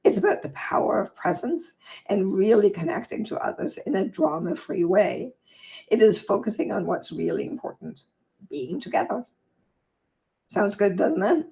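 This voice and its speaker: Woman host from Denmark, gravelly and croaky, hoarse, chatty livestream talker. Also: mid-range voice, very animated, warm tone, poor audio. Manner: chatty, sidetracked, fast-talking. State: hoarse from long talking. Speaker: vlog host and author. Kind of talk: casual livestream chat and reading aloud.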